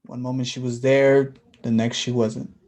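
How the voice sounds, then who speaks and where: A man's voice: mid-range voice, little pitch movement, normal speed, a little mellow, close to an everyday voice. A man playing a defensive, wounded blind brother in a staged play reading, blunt and sarcastic. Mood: reflective, sad.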